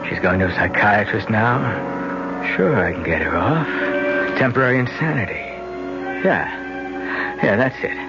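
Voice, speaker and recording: Troubled young guy plotting something dark, intense, old radio drama, muffled w/ loud music behind. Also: lowish voice, lively intonation, normal speed, long pauses. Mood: calculating. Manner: intense, self-questioning. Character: troubled twin brother plotting murder, brooding and conflicted. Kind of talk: 1970s radio drama acting, interior monologue.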